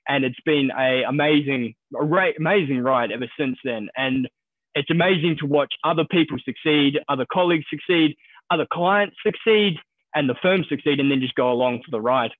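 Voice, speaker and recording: Brief: upbeat New Zealand-accented broker, a bit high-voiced, tinny phone-line sound. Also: male voice, no room sound. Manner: quick, upbeat, repeats words when starting sentences. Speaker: guest, stockbroker. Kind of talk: casual interview talk.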